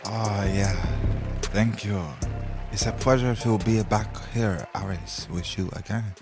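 A man's voice: lowish voice, steady tone, takes it slowly, over music. A man playing a self-assured, traditional foreign sage as a radio guest, speaking broken English in emphatic pronouncements. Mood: pleased. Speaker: recurring radio show guest. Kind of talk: comedic radio interview.

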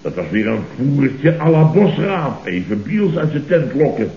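An actor playing a panicky, self-important boss in a Dutch radio comedy, stammering and repeating words emphatically, with a Netherlands accent. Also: male voice, mid-range voice, sing-song, a little slow. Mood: pompous, indignant. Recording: dull, noisy, roomy old radio recording.

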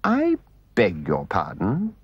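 A man voicing a sceptical, stubborn river rat from a storybook, speaking in a measured, drily understated way. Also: on the low side, huge pitch swings, breathy edge, no echo, highs cut off, heavily acted. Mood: stiff, sceptical. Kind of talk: storybook character voice.

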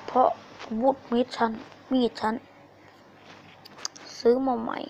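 A woman improvising a story with acted character lines, speaking haltingly and repeating phrases, on an amateur phone recording. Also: darkish tone, highs cut off, slight background noise.

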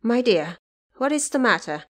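Woman playing a gentle, anxious older wife. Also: a little high, sing-song, moderate pace. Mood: concerned.

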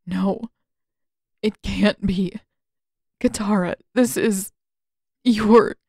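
A woman voicing an anguished, lonely teenage boy in an audio story, speaking in a halting, wounded way. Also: mid-range voice, steady tone, creaky, dark tone, breathy edge, no echo, studio quality, full character voice. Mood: disbelieving, upset.

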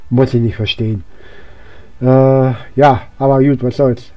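A hoarse man with a cold giving casual, rambling gameplay commentary, with lots of fillers and restarts. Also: medium pitch, fairly even intonation, unhurried, no echo, lo-fi sound, soft background music. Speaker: let's play gamer.

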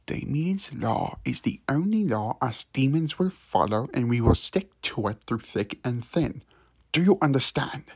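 A man puts on a stern, doting older demon father for an ASMR drama. He speaks slowly and with authority in a husky voice, recorded close and dry. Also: mid-range voice, expressive, dry recording, heavily acted. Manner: slow, deliberate, authoritative. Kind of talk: ASMR audio roleplay acting.

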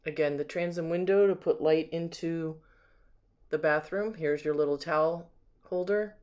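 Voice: medium pitch, moderate pace, darkish tone, clear, resonant tone, muffled recording. A home sales agent giving a casual, unscripted walkthrough narration, admiring and describing things item by item, recorded on a handheld camera inside an empty house. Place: indoors, rooms of an empty house.

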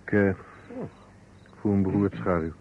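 Weary surgeon on noisy old radio. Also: male voice, fairly low pitch, fairly even intonation, unhurried, creaky, phone-quality sound. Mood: grave, confessing. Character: weary male surgeon coolly confessing a false alibi. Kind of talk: old Dutch radio play acting.

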